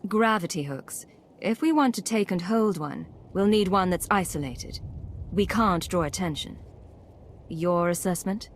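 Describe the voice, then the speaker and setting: An actress playing a self-reliant secret agent in a video game, controlled, dry and terse. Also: female voice, fairly low pitch, animated, a little slow, a little hiss or hum. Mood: cool, composed. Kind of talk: video game cutscene acting.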